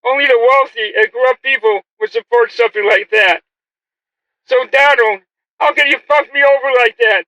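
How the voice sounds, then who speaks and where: Very high-pitched man, taunting and confrontational. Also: moderate pace, bright, forceful tone, dry recording, muffled recording. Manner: confrontational, swearing, rhetorical questions. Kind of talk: profane taunting monologue addressed to an absent opponent.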